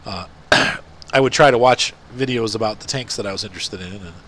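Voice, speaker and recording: A man's voice: on the low side, fairly quick, fairly bright tone. A middle-aged man with a congested, stuffy nose, chatting casually while playing a farming game for his channel. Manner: rambling, chatty, many fillers. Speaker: gaming channel host. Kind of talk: casual gameplay commentary.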